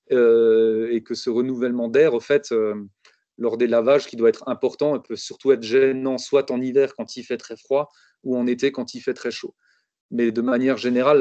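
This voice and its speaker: A man's voice: mid-range voice, a little mellow, no room sound. A man, an architect, presenting a building study in an online webinar, hesitant with many fillers and restarts.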